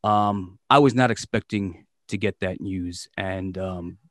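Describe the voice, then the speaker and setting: A man's voice: low-pitched, slow pace, fairly bright tone, no room sound, clean studio sound. A man hosting his own podcast, chatting in a loose, joking way with plenty of swearing. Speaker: podcast host. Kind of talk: casual, profane podcast chat.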